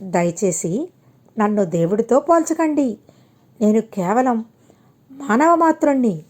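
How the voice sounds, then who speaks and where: A woman's voice: a little high, animated, slow, a little mellow. A woman voicing a generous, humble older family patriarch in a reassuring, kindly manner for an audio storybook.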